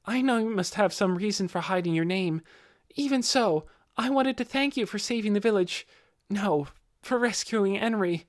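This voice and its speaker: A man's voice: high voice, moderate pace, no room sound, clean studio sound, pitched above the performer's own voice, lightly acted. A man voicing an earnest, polite, nervous teenage boy in an audiobook, speaking deferentially and apologetically. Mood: earnest, grateful. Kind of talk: audiobook character voice.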